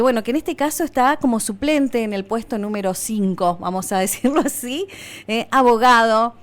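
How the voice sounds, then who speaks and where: A woman's voice: fairly high pitch, smooth. A woman radio host with an Argentine accent, running an interview in a chatty way with many fillers and restarts. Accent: Argentine Spanish.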